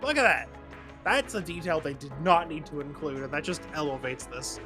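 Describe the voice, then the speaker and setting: A man's voice: on the high side, normal speed. A man giving casual, wry commentary over a video game, jumping in with asides as he banters. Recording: game music under the voice. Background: video game music.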